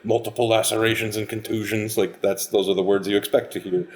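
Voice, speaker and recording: A man chatting casually on a podcast, making wry remarks in a deadpan way. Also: fairly low pitch, fast.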